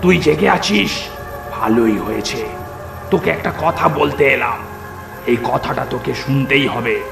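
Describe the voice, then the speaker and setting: A man voicing a protective, anxious ghostly uncle in a horror cartoon, speaking earnestly and deliberately. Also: medium pitch, vocal fry. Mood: grave warning. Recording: echoey voice under loud music.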